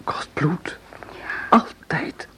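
An actor playing a wounded, weary, idealistic rebel in a Dutch radio drama, speaking haltingly and as if in pain. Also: male voice, in a whisper. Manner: laboured, halting, earnest. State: weak and in pain, acted. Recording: noisy old radio play recording.

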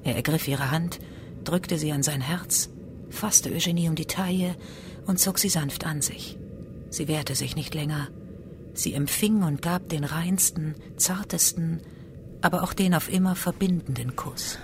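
A woman's voice: deep voice, a little slow, noisy background. A woman giving scripted literary narration in a radio drama.

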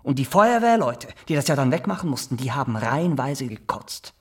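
An actor playing an eager, simple barber in a radio drama, halting and repetitive. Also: male voice, medium pitch, big pitch swings, slightly rough. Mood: morbid, proud. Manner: halting, repeats himself, insistent. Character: eager simple Swiss barber, boastful, morbidly fascinated. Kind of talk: naturalistic radio drama dialogue.

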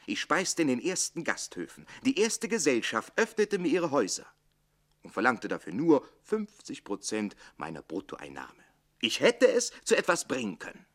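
A man playing a witty, self-pitying barber-servant in a radio drama, declaiming theatrically between exuberance and hushed lament. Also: medium pitch, huge pitch swings, moderate pace. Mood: boastful, animated.

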